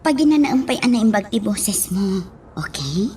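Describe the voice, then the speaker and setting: A woman playing a patient, caring companion who reassures gently in an Ilocano radio drama. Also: medium pitch, big pitch swings, unhurried, slight background noise. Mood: soothing.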